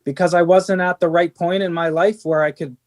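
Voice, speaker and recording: A man's voice: high-pitched, fairly even intonation, brisk, darkish tone. A middle-aged man, a band's singer, talking earnestly in an interview, rambling with many restarts and fillers. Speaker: band singer. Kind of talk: candid interview conversation.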